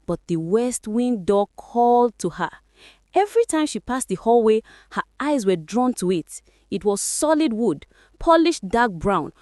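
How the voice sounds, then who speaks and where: A woman's voice: on the high side, darkish tone, dry recording, studio quality. A woman storyteller narrating a folktale in a dramatic, scripted style.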